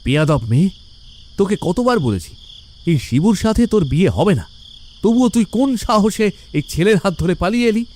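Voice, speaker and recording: A man's voice: sits high, expressive, fairly quick, a little mellow. A man voicing the stern, vengeful ghost of a murdered father in a cartoon. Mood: scolding. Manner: stern, scolding. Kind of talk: Bengali cartoon voice acting.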